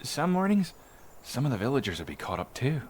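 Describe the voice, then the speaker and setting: A man's voice: mid-range voice, sing-song, brisk, a little hiss or hum, close to an everyday voice. A man playing an island villager in an ASMR roleplay, recalling his childhood in a folksy, musing, conversational way. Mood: animated reminiscing. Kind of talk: ASMR roleplay storytelling monologue.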